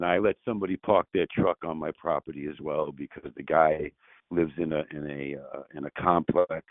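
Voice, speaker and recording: A man's voice: deep voice, dry recording. Older man on a tinny phone line. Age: 60s. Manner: rambling, restarts often, laughs easily. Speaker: property owner on a sales call. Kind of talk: casual phone conversation.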